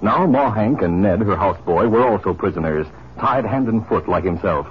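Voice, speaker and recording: A man's voice: lowish voice, animated, normal speed. A man with an American accent narrating a scripted 1950s western radio drama. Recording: muffled, noisy old radio recording.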